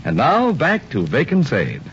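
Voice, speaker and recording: A man announces in vintage radio style, reading a sponsor spot with very animated pitch. The recording is dull and hissy. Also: unhurried.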